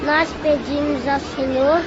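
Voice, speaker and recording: Slow, high-voiced sweet little boy. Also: steady tone. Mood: earnest, tender. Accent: Brazilian. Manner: slow, earnest, childlike delivery. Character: sweet little boy praying for his grandmother. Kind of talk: audio novel acting. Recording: dull sound, loud crowd and outdoor noise. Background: loud busy beach ambience.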